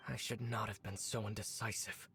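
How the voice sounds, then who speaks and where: A man voicing a resolute young royal leader of a dark order in a video game, formal, solemn and commanding. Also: lowish voice, little pitch movement, normal speed, vocal fry. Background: game music.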